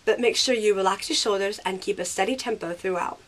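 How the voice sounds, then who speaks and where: A woman's voice: medium pitch, a little fast, a bit bright, natural voice, poor audio. A woman playing a sensible, caring older teenage girl who reassures and reasons, in an audio drama. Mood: encouraging.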